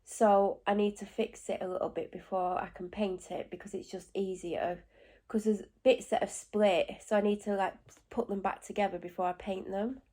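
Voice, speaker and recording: A woman's voice: mid-range voice, steady tone, mellow. Congested woman chatting casually. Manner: rambling, talks in quick asides and self-corrections. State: stuffy and congested with a cold. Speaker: vlogger. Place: at home.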